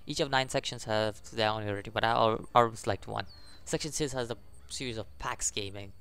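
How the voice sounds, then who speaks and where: Young man reading game text haltingly. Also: lowish voice, gravelly, fairly bright tone, clear, resonant tone. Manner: halting reading, stumbles over words. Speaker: gaming YouTuber. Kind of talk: casual let's play, reading game text aloud with commentary.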